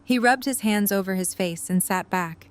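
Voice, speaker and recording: A woman serves as narrator, reading a scripted story. Her voice is of middle pitch, delivering third-person prose for an audio drama. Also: normal speed.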